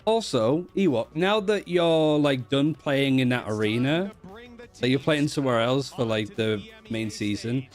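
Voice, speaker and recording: A man's voice: mid-range voice, unhurried, soft background music. A man from Africa, an Afrikaans speaker, chatting loosely with viewers and swearing freely on a stream. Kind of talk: casual co-stream chat.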